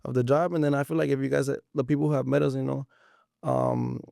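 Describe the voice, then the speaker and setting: Young dude, gravelly fry in his voice, dry close sound, slangy spanglish interview chat. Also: mid-range voice, fairly even intonation, a little fast, warm tone, no room sound. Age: about 20. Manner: slangy, informal, frequent swearing. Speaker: musician, interview guest. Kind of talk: casual interview chat with Spanish-English code-switching.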